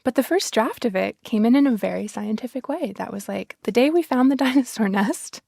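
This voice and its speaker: A woman's voice: fairly high pitch, lively intonation, brisk, vocal fry, darkish tone, no room sound, studio quality. A woman speaking as a guest in a conversational interview, explaining her ideas in a reflective, emphatic way. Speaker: interview guest, science storytelling advocate.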